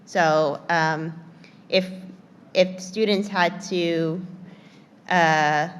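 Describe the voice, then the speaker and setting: A woman in the workshop audience asking a question, halting and hesitant with many fillers and restarts. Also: lowish voice, fairly even intonation, in a large hall. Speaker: workshop participant.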